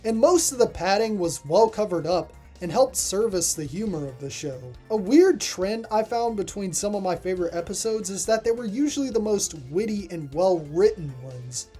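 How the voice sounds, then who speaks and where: Mellow-voiced reviewer narrating a video essay. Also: mid-range voice, expressive, normal speed, few pauses, soft background music.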